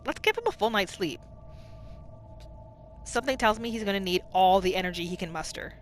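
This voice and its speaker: A woman giving casual, chatty roleplay commentary as the host of a gaming channel, narrating in an expressive way. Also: medium pitch, a little fast, faint music behind. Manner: expressive, chatty, narrating characters' thoughts in third person.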